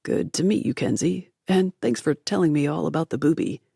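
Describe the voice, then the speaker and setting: A woman's voice: low voice, fairly quick, gravelly, mellow, slightly rough, dry recording, exaggerated voice. A woman voicing a guarded young American man in an audiobook, speaking in a dry, clipped way that deflects small talk. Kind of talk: audiobook character voice.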